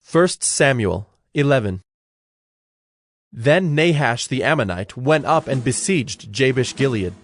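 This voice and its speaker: A man narrating scripture from a script as a solemn, measured storyteller. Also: medium pitch, animated, dry recording.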